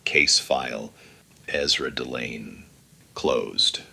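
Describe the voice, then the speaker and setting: A man playing a brooding, menacing noir vigilante in a horror audio drama, terse and gravelly. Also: very deep voice, very slow, heavily acted. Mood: grim, final. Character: brooding male noir vigilante, cold deliverer of judgment. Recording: clear background noise.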